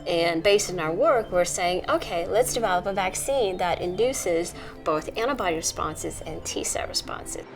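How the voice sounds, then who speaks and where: A middle-aged woman from Nepal, a researcher, explaining her work in a measured documentary interview. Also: on the low side, animated, over music. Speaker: immunology researcher.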